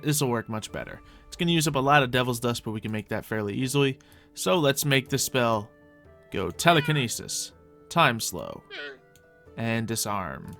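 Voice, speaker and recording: A man with a medium-pitched voice hosts an improvised video game roleplay. He chats casually to himself, thinking aloud as he plays. Soft music runs behind his voice. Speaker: gaming video host playing in character.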